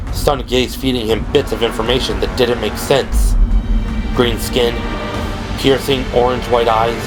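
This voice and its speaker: A man reading dramatic scripted narration for a horror audio drama. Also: mid-range voice. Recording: clearly noisy background. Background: ambient sound effects.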